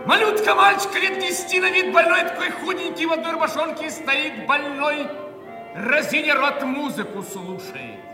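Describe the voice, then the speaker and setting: An actor playing an anguished poor man, declaiming a theatrical monologue with great passion, swinging from hushed words to cries. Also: very high-pitched, moderate pace. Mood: anguished, impassioned. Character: anguished poor man bewailing a starving beggar child. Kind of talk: theatrical dramatic monologue in an audio play. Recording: echoey hall, distant mic, music behind.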